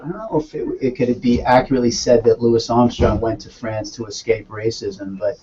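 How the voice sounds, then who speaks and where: A man's voice: medium pitch, flat delivery, brisk, dark tone, lo-fi sound. A man from Brooklyn hosting a school poetry stream, talking in a chatty, upbeat way with loose slang over a video call. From Brooklyn, New York. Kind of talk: casual chat.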